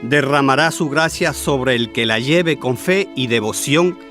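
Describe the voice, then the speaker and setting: A man's voice: mid-range voice, unhurried, fairly bright tone, faint music behind, close to an everyday voice. A man playing a devout priest in a radio drama, instructing in an earnest preaching manner. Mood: instructive, devout.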